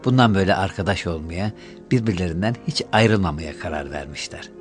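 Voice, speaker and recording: A man's voice: sits low, fairly quick. A man narrating a scripted children's fairy tale as a storyteller, with music behind him.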